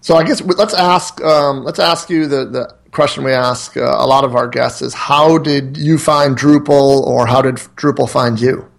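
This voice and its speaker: A man's voice: medium pitch, steady tone, moderate pace, gravelly, few pauses. A man from central Connecticut co-hosting a podcast, asking guests friendly, joking follow-up questions in a casual way. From central Connecticut, USA. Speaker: podcast co-host. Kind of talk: casual interview chat.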